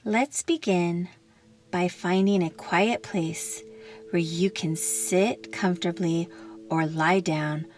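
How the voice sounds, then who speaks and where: Female meditation guide: lowish pitch, very slow pace, gentle and calming instruction with generous pauses. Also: a little hiss or hum. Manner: gentle, soothing, unhurried instruction with long pauses.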